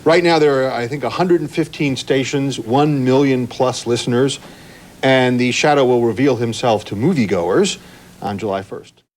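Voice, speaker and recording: A man's voice: medium pitch, moderate pace, darkish tone. A man talking conversationally as an informed guest in a television discussion. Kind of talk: conversational TV interview.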